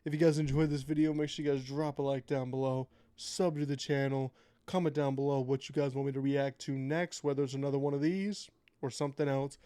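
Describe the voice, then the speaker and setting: A man hosting a casual reaction video, sounding a little nasal from a cold, chatting in a laid-back way and reading out facts haltingly. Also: mid-range voice, steady tone, warm tone, smooth, no echo.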